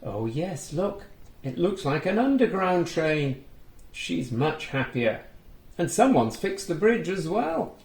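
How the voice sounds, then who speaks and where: A man with a fairly high, very lively voice reads a picture book to small children. He takes his time over the words and adds chatty little exclamations as he goes. The sound is roomy and echoing. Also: slow. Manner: expressive, gently chatty, exclaiming to young listeners. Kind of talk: children's storybook read-aloud with asides.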